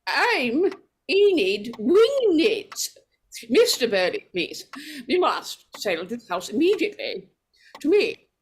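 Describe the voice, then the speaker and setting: A woman playing a strange, fretful and possessive character, halting and pleading in melodramatic 1940s radio horror style. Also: high voice, sing-song, slight background noise, exaggerated voice. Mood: pleading, accusing.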